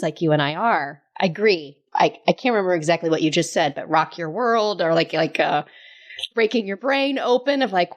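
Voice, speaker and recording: A woman in her late forties talking casually as a coaching podcast host, telling stories in an animated, emphatic way and repeating phrases for stress. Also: fairly low pitch. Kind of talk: casual conversational storytelling.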